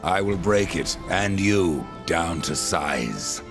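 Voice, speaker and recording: A man playing a grim, sardonic horseman of death in a dark fantasy game, with a gravelly rasp and a terse, menacing delivery. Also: low-pitched, animated, slow, music in the background, exaggerated voice.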